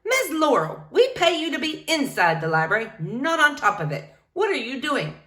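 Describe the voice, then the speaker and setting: A woman's voice: sits high, huge pitch swings, moderate pace, bright, forceful tone, pitched above the performer's own voice, heavily acted. A woman voicing a stuffy, indignant male town official from a children's storybook, scolding and exclaiming. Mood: startled, indignant.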